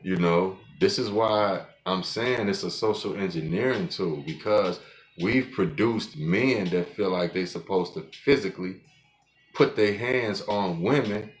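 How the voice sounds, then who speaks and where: Man in his forties, very deep voice, unhurried delivery, blunt and profane, rambling monologue, faint music underneath. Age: 40s. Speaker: podcast host. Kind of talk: casual monologue.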